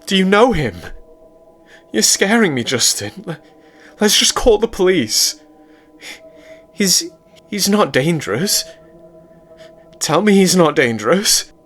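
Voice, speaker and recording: Man voicing a frightened high-voiced girlfriend. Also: sing-song, moderate pace, fairly bright tone, soft background music, exaggerated voice. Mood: panicked pleading. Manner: pleading, shaky, rising to panic. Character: frightened young adult woman, anxious girlfriend.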